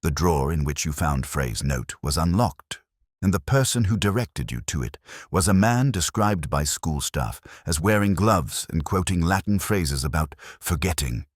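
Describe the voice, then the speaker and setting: A man voicing a shrewd, grave detective in an audiobook, speaking deliberately and pressing his point. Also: rumbling low, lively intonation, husky, dry recording, clean studio sound, full character voice. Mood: grave, probing. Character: shrewd, grave, probing male Victorian detective. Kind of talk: audiobook character dialogue.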